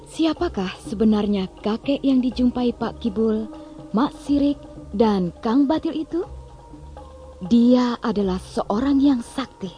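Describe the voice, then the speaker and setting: Woman, children's story narrator, fairly high mellow voice, unhurried, faint music behind.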